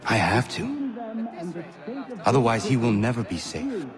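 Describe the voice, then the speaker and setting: An actor playing an earnest, principled young hero in a video game, formal and blunt in manner. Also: male voice, mid-range voice, big pitch swings, a little slow, crowd noise. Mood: resolute. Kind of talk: video game cutscene acting. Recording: echoey room, faint music.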